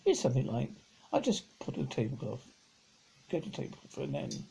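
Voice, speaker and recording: A middle-aged man reads a children's story aloud, stumbling over words and rereading them, in a husky, gravelly voice. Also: medium pitch, wildly swooping pitch, fairly quick, dark tone, slight background noise. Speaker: amateur audiobook reader. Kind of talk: reading a children's novel aloud. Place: living room at home, on a sofa.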